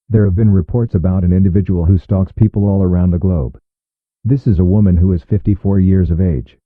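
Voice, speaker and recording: Phone-like, very deep male alert announcer. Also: dry recording. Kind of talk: scripted emergency alert broadcast announcement. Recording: muffled phone-like audio with music behind.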